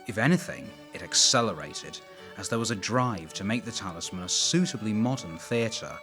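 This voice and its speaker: A man's voice: on the low side, lively intonation, moderate pace, a bit bright, faint music behind. A man reading scripted documentary narration as the narrator of a history series.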